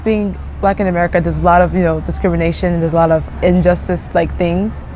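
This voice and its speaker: A young woman raised in Japan with Japanese as her first language, giving relaxed, thoughtful interview answers full of hedges and fillers. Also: lowish voice, quick pace, a little hiss or hum, poor audio. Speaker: interview guest.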